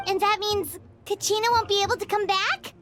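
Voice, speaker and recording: A woman voicing a small, chatty female fairy companion in a video game, blunt and quick to judge. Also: extremely high pitch, fairly even intonation, a bit bright, faint music behind. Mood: worried. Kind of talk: game voice acting. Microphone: dry studio mic.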